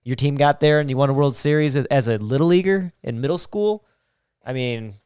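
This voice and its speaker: A man's voice: medium pitch, fairly quick, a little mellow, smooth, no room sound, clean studio sound. An American man chatting casually as a show guest, joking at his own expense. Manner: jokey, self-deprecating. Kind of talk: casual chat.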